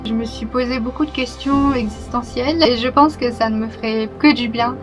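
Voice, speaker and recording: Higher-voiced young woman vlogging over music. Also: fairly even intonation, moderate pace. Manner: reflective, open, with soft laughs and hesitations. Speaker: YouTuber. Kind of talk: casual personal vlog talk.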